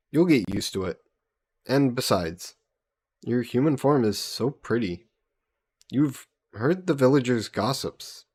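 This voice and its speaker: A man playing a slow-talking, easygoing young hero. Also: medium pitch, slightly rough. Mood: playful teasing. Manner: casual, playful, gently coaxing. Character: easygoing, teasing young male isekai hero adventurer. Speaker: voice actor. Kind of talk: intimate roleplay audio drama.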